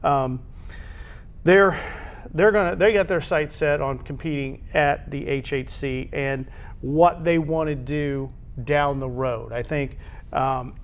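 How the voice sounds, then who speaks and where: A man's voice: a little high, smooth, lo-fi sound. An American man co-hosting a casual discussion about sports. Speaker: co-host.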